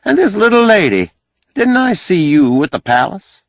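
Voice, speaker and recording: A male reader plays a boisterous political preacher from a storybook, folksy and glad-handing. The pitch rides high and swings widely, with loud, pushy emphasis. The sound is close and dry. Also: very animated, moderate pace, dry recording. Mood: hearty, glad-handing. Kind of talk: audiobook character acting.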